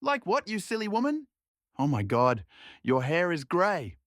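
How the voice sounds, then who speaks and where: A man voicing a flirtatious middle-aged village woman in a story, loud and exclaiming. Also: sits high, huge pitch swings, unhurried, no room sound, studio quality, voice pushed up, full character voice. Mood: startled, teasing.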